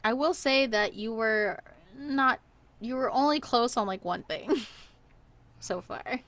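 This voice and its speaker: A woman co-hosting a podcast, playing a word game in casual chat with frequent giggles. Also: fairly high pitch. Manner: giggly, quick soft answers. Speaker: podcast co-host.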